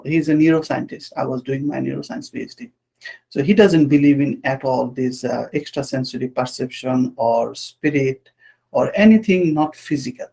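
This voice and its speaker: A man from Bangladesh hosting a podcast interview, speaking earnestly and haltingly with many restarts. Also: on the high side, gravelly, darkish tone. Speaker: podcast host. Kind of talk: conversational interview.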